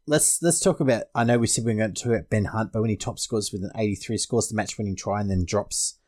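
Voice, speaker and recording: A man's voice: fairly low pitch, fast talker, darkish tone. An Australian man hosting a sports podcast, casually discussing fantasy rugby league.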